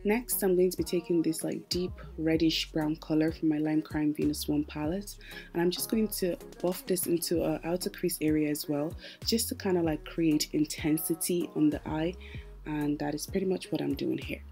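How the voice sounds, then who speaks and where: A woman's voice: low voice, fairly even intonation, normal speed, gravelly, warm tone. A woman giving a casual makeup tutorial voice-over as host, chatty and explanatory, speaking directly to her viewers. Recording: music playing behind the voice. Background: background music.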